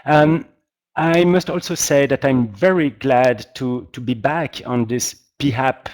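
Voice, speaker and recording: A man's voice: medium pitch, a little slow, darkish tone. A man with a strong French accent giving an online expert presentation, politely and with frequent hesitations. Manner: hesitant, searching for words, polite. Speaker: guest expert, humanitarian practitioner. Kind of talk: webinar presentation.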